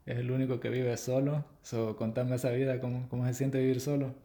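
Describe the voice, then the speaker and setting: A man's voice: mid-range voice, level tone, brisk, mellow. Teasing man hosting a casual chat. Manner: teasing, bantering, leads the questions. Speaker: podcast host.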